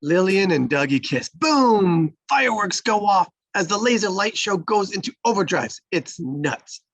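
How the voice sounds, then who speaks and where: A man's voice: high-pitched, expressive, unhurried, fairly bright tone, no room sound. A man reading stage directions as the narrator of a screenplay table read.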